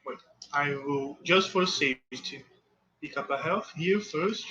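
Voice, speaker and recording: A man with a Portuguese accent, a native Portuguese speaker, commentating his own speedrun live in halting English that often restarts mid-phrase. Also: fairly high pitch, pushed and bright, lo-fi sound.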